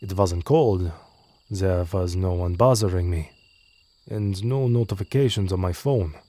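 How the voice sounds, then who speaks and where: A man's voice: low voice, unhurried, dark tone, dry recording. A man reading a scripted first-person horror story as narrator.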